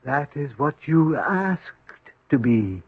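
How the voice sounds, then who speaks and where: An actor playing a calm, courteous and sinister supernatural servant in a radio drama, speaking formally and deliberately on an old broadcast recording. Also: male voice, a little high, breathy edge, dry recording, narrow, tinny audio, exaggerated voice. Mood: coolly persuasive. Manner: formal, deliberate, servile politeness.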